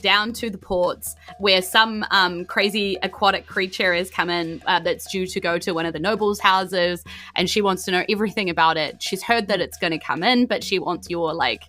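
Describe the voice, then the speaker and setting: A woman with a New Zealand accent and a bright, forward voice runs a tabletop roleplaying game. She narrates casually and chattily, prompting her players with questions. Faint music plays behind her. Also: on the low side, normal speed. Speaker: game master.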